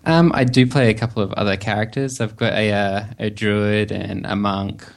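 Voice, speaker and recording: A man's voice: on the low side, fairly even intonation, moderate pace, creaky. A man with an Australian accent, talking casually as a guest on a podcast. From Perth, Western Australia. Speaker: podcast guest, website developer. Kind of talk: casual interview chat.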